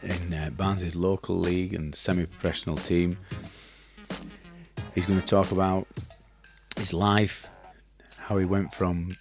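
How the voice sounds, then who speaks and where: A man in his fifties hosting a casual podcast interview in a cluttered home studio, talking haltingly with many fillers and restarts. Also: sits low, level tone, soft background music. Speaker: podcast host. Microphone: studio mic with headphones.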